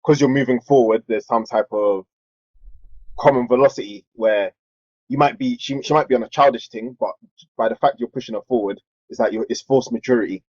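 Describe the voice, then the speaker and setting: A man's voice: medium pitch, a little fast, a little mellow, slightly rough, no echo. A young man who explains his ideas at length in casual podcast chat, stammering as he starts. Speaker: podcast co-host. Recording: dull lo-fi dry sound.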